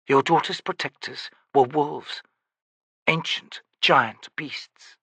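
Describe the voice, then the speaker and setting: A stern, honourable woman warrior, as a man puts her on speaks low and measured. Her voice is bright and close, recorded with no room sound. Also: slightly rough, dry recording, heavily acted. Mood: grave.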